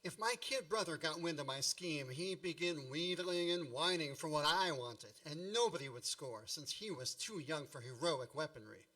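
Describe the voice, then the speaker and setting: A man performs a wry storyteller looking back on boyhood in a radio play. The voice is fairly high and bright, relishing mock-epic phrasing. It sits slightly away from the mic. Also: expressive, moderate pace, few pauses, recorded from a distance, low-quality recording. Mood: wry scheming. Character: grown man wryly recalling his boyhood. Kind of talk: scripted radio play narration.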